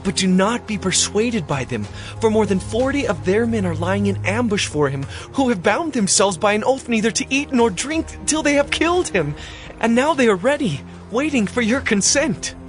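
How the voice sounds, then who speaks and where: A man's voice: high-pitched, lively intonation, moderate pace, soft background music. A man playing an anxious, earnest young informant in a dramatized Bible reading, urgent and pleading.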